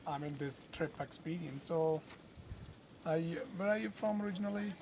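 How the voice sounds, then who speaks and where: Gravelly tour guide asking questions. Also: male voice, fairly high pitch, normal speed. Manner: hesitant, many fillers. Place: outdoors in the mountains. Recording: noisy outdoor ambience.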